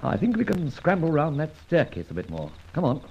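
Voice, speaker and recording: An actor playing a shrewd, well-spoken gentleman adventurer in an old-time radio serial, clipped and giving orders with old-fashioned slang. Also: a little high, wildly swooping pitch, fairly quick, vocal fry, full character voice. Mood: alert, intrigued. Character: shrewd, commanding gentleman adventurer, brisk male leader. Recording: muffled, noisy old radio recording.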